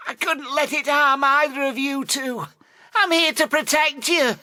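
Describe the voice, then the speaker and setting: A ghostly male cat, fiery and haughty, acted out by a woman for an audio story. The voice is high and sharp, very close and dry, with a scolding, theatrical edge. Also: measured pace, dry recording. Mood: pained, earnest.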